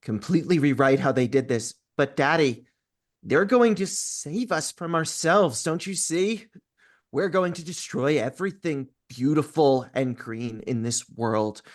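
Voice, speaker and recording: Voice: medium pitch, a little slow, close to an everyday voice. Performer as fanatical daughter, serene and eerie, mid-range voice, stage-musical table read. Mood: serene menace. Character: dreamy, fanatical daughter enthralled by killer plants.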